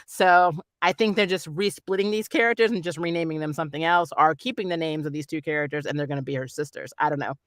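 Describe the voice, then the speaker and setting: Bright-voiced host, chatty and opinionated. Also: mid-range voice, fast talker, hardly any pauses, no echo, studio quality. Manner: chatty, opinionated, amused asides. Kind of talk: casual spoiler review commentary.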